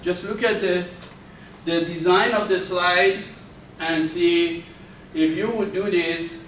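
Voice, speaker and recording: A male university lecturer giving a classroom lecture, speaking slowly and deliberately with careful enunciation and frequent restarts. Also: high-pitched, fairly bright tone. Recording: echoey classroom from far off, faint background noise.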